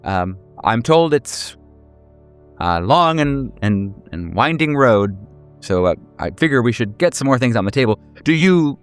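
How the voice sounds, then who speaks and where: A man's voice: medium pitch, sing-song, a little slow, clean tone, faint music behind. A man voicing a coy, playful woman who teases and mock-scolds, in a tabletop roleplaying game. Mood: coy, teasing. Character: coy, playful, teasing woman under witness protection.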